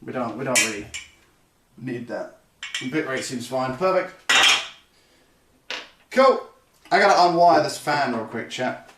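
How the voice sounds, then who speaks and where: A man's voice: medium pitch, unhurried, long pauses. A young man chatting casually to his stream viewers as he builds a computer, rambling and correcting himself as he goes. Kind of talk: casual live-stream chat. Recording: roomy, slightly distant, faint noise.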